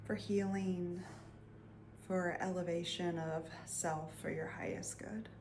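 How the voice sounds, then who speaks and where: A woman leading a guided meditation in a soft, soothing voice, leaving long pauses and sometimes trailing near a whisper. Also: fairly low pitch, steady tone, background noise. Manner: soothing, unhurried, with long pauses between phrases. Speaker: meditation guide and energy healer.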